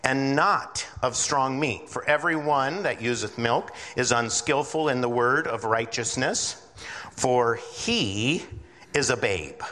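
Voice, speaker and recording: A man's voice: medium pitch, slow, bright, forceful tone. Big-voiced male preacher in a reverberant hall. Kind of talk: evening Bible-teaching sermon. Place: large reverberant room with a congregation.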